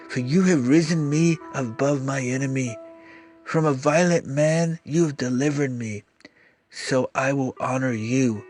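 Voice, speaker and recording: Man, slightly high voice, unhurried solemn scripture reading over soft background music. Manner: slow, solemn, declamatory delivery. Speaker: scripture reader. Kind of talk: dramatized Bible reading. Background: faint music.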